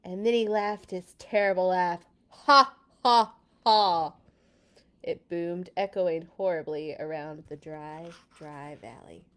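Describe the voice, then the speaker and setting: A woman hosting a children's read-aloud, expressive, with dramatic playful delivery and casual asides. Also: on the low side, a little slow, hardly any pauses. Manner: dramatic, playful sound effects.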